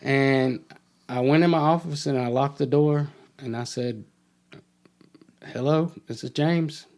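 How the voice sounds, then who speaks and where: A man's voice: mid-range voice, unhurried, darkish tone. Middle-aged man telling a personal story. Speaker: podcast guest telling his life story.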